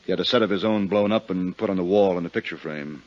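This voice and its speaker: Actor as deep-voiced, haggard American lawyer. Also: male voice, fast, natural voice. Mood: earnest, explaining. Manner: hesitant, earnest. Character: haggard, worried, soft-hearted male lawyer. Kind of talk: 1950s radio drama acting. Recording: muffled vintage radio recording.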